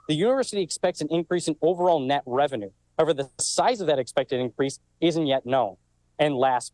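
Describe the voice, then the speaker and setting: A man's voice: on the high side, darkish tone, dry recording, poor audio. A man giving a live news stand-up report with an upbeat delivery.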